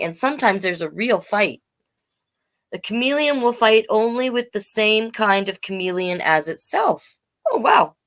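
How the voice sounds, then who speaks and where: A woman hosting a children's storytime, reading aloud expressively and playfully, with chatty explanatory asides for young listeners. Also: on the low side. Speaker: host reading a children's picture book.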